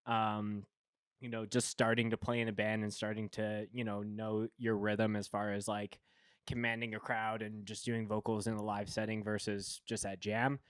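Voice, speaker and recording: A man's voice: on the low side, little pitch movement, normal speed, clear, resonant tone, dry recording. A man hosting a podcast, chatting casually with a guest and often restarting his sentences. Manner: rambling, many restarts and fillers. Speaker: podcast host. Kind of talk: casual chat.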